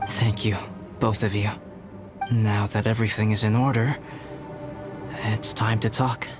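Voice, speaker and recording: Man as a low-voiced, calm scholarly alchemist. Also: over loud music, lightly acted. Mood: solemn, confiding. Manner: measured, formal, thoughtful, with deliberate pauses. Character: calm, scholarly young male alchemist, a reserved synthetic human. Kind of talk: video game character acting.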